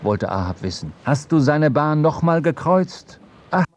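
A man's voice: a little high, big pitch swings, a little slow, a little hiss or hum. A man narrating a radio drama in a scripted, literary storytelling style. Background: music.

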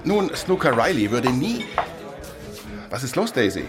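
An actor playing a jovial, patronizing police inspector with a breezy manner in a radio crime drama. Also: male voice, medium pitch, sing-song, measured pace, music in the background. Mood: wry.

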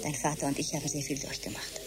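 A woman's voice: low-pitched, flat delivery, fast, loud music behind the voice, close to an everyday voice. An actress playing a genteel, snobbish middle-aged mother who panics easily, in a theatrical, haughty radio drama manner. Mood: stern, indignant. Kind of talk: German radio drama acting.

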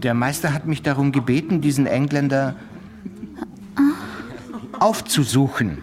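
An actor playing a fussy, dignified hospital doctor in a German radio play, formal and touchy, often clearing his throat. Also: mid-range voice, measured pace, darkish tone, slight background noise, natural voice.

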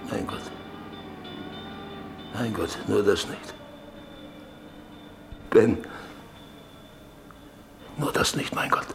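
A gravelly, low-voiced middle-aged landowner in a radio drama, stubborn and haunted by guilt. He speaks in halting, brooding phrases, circling back on his words, over faint background noise. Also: fairly bright tone, full character voice. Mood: grief-stricken. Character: guilt-ridden, stubborn, brooding landowner father, married 25 years. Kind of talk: German radio drama acting.

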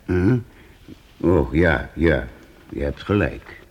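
An actor playing a shrewd, teasing old priest who teaches children in a radio play, probing and mock-stern. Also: male voice, a bass voice, animated, takes it slowly, a little mellow, exaggerated voice. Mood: coaxing.

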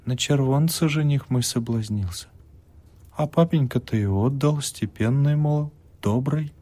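A man reading an audiobook, voicing a feverish, frightened peasant woman who pleads in a shrill, agitated voice. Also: unhurried, dark tone, close to an everyday voice. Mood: bitter.

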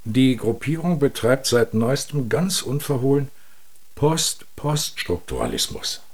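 Brusque, officious secret police boss. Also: male voice, medium pitch, very animated, unhurried. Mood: earnest, officious. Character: brusque male secret police superior running a briefing. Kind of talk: radio drama dialogue.

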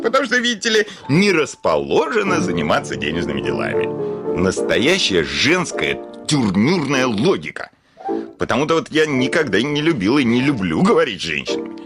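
An actor playing an irascible, blustering landowner in a classic comedy radio play, exclaiming and grumbling with theatrical exasperation. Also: male voice, medium pitch. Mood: indignant. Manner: theatrical grumbling, exclaiming, swinging from muttering to bellowing.

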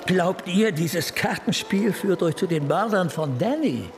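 An actor playing a brash, foul-mouthed, cynical police detective in a radio crime drama, sardonic and coarse. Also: male voice, high-pitched, expressive, measured pace, background noise.

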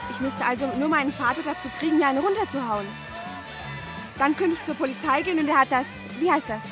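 A woman's voice: sits high, quick pace, close to an everyday voice. An actress playing a naive, stubborn teenage girl with a questioning manner in a German radio play. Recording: music behind the voice.

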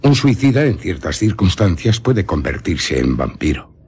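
An actor playing an eccentric old baron and vampire scholar in a Castilian Spanish radio drama, telling his tale dramatically with lingering pauses and a very raspy voice. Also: deep voice, sing-song, a little mellow, exaggerated voice. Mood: grave, instructive. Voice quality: very husky, raspy.

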